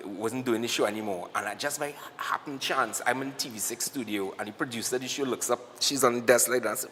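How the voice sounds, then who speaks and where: A man with a Trinidadian accent, a guest on a morning TV show, telling animated stories and acting out what people said to him. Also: mid-range voice, fast talker, long reverb tail, slight background noise. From Trinidad and Tobago. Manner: animated storyteller, acts out quotes. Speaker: guest, cyclist and singer. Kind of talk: casual interview chat.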